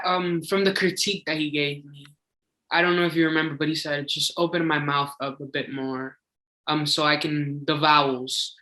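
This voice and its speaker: A man's voice: a little high, bright, forceful tone. A young man, a singing contestant, answering interview questions in an eager, chatty way with lots of filler words. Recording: echoey room.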